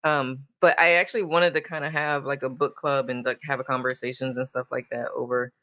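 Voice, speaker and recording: A woman's voice: a bass voice, fairly even intonation, fairly bright tone. A woman hosting a casual conversation in an informal, easygoing way. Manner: informal, quick to laugh. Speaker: host. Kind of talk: casual chat.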